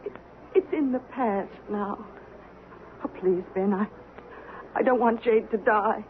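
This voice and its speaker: A woman playing an aging, regretful frontier wife in an American radio drama, halting and theatrical. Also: mid-range voice, lightly acted. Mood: pleading. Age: older. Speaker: actor. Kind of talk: 1970s radio drama acting. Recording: tinny, noisy vintage radio broadcast.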